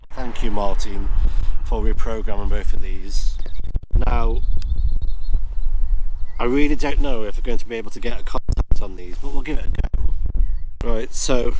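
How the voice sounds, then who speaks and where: British male vlogger, lowish voice, unhurried and musing, casual unscripted delivery, recorded outdoors with light ambient noise. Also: poor audio. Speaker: amateur radio hobbyist and video host. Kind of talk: casual rambling outdoor vlog chat. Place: outdoors on a rural walk.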